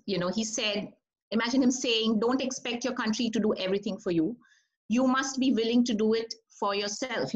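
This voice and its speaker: A woman's voice: on the high side, lo-fi sound. A woman leading a creative writing workshop, talking conversationally and putting questions to the group. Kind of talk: online workshop presentation.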